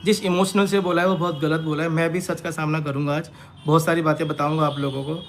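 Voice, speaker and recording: Guy live streaming to followers, defensive, repeats himself, pretty even highish voice, bit of noise. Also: fast. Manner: defensive, emphatic, repetitive. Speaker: online creator answering accusations. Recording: noisy live-stream audio with background ambience.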